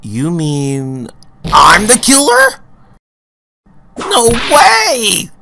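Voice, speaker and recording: A performer voicing an excitable, goofy young man on the witness stand in a video game fan dub, whiny and melodramatic. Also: high-pitched, extremely slow, gravelly, heavily acted. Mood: panicked.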